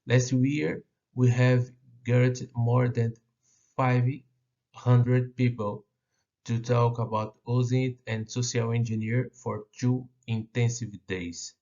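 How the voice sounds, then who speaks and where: Slow, gravelly Brazilian man presenting. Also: mid-range voice, darkish tone, muffled recording. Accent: Brazilian Portuguese. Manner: halting non-native English with fillers and restarts. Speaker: conference speaker. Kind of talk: conference presentation.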